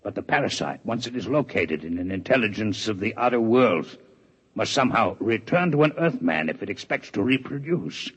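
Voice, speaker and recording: An actor playing a proud, formal alien male scientist in a 1950s radio drama, with measured, precise diction. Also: fairly low pitch, very animated, fairly bright tone, husky, exaggerated voice. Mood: grave. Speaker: alien doctor in a radio play. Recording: dull vintage radio recording.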